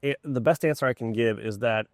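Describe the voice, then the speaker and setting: Man, mid-pitched, informal and enthusiastic interview guest, dry close sound. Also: a little fast, no room sound. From Poland. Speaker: orchestra music director, interview guest. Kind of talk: casual conversational interview.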